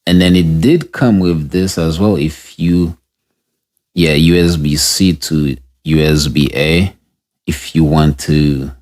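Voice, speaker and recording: A man with a deep voice giving a casual, unscripted product review, talking through the gear as he tests it and restarting sentences as he goes. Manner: unscripted, halting, restarts mid-sentence while testing gear.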